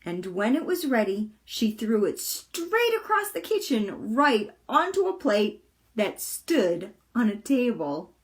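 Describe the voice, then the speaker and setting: A woman reading a children's chapter book aloud with deliberate, expressive storytelling. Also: fairly high pitch. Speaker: volunteer storybook reader.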